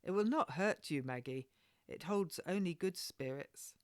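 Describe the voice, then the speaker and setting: A woman's voice: on the low side, animated, a little slow, darkish tone, dry recording. A proud, pious midwife, as a woman reader plays her, speaks firmly and admonishes in old-fashioned phrases. It is a storybook voice, recorded dry and close. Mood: brisk, commanding. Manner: firm, admonishing, archaic phrasing.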